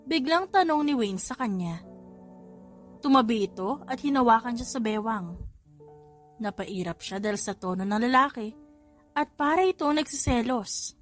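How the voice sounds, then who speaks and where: A Filipino woman narrates a romance audiobook in a fairly high voice. She reads from a script as a storyteller, with music playing underneath. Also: lively intonation, moderate pace, pauses often.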